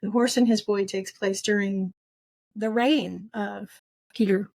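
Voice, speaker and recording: A woman's voice: medium pitch, steady tone, a little slow, gravelly, darkish tone, dry recording. A middle-aged woman co-hosting a book podcast, chatting casually and repeating short phrases for emphasis. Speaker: podcast co-host.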